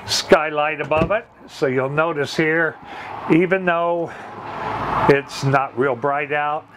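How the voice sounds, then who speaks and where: A middle-aged man with a loud voice giving an enthusiastic sales walkaround, listing features one by one. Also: on the high side, fairly even intonation, slow pace. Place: outdoors by a trailer on a lot, then inside the trailer. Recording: camera audio with road noise. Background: road and traffic noise.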